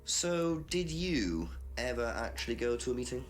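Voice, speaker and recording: A man's voice: mid-range voice, sing-song, moderate pace, close to an everyday voice. An actor as a persistent male police inspector in a video game mystery. His mid-range voice moves around a lot as he questions skeptically. Light music sits behind him. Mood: probing, puzzled. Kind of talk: FMV mystery game acting.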